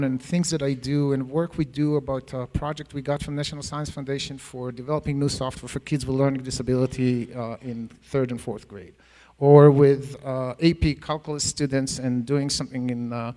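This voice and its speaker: A man's voice: mid-range voice, a little mellow, in a large hall. A middle-aged man with an Israeli accent, a Hebrew native speaker, speaking earnestly on an education panel, rambling with many fillers and restarts. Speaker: panelist, math education professor. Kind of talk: panel talk to an audience. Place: school auditorium.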